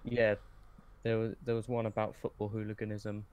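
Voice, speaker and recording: Low-key young man, rather flat delivery. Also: a little hiss or hum. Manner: hesitant, low-key, pauses mid-sentence. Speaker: podcast guest, script writer. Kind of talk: casual reminiscing in interview.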